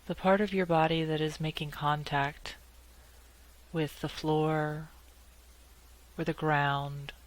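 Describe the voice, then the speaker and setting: Very slow-paced woman guiding meditation. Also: low-pitched, rough recording. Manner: calm, measured delivery with long pauses. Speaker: meditation guide. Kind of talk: guided meditation.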